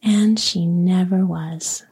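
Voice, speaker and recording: Slow breathy whispering woman. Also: on the low side, fairly even intonation. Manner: slow, soft and soothing. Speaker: sleep story narrator. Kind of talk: whispered bedtime story reading, ASMR.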